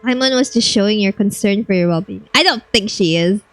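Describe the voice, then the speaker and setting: A woman's voice: mid-range voice, fairly quick, over music, poor audio. A woman giving casual, blunt and slangy reaction commentary as she plays a video game. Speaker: streamer playing a video game.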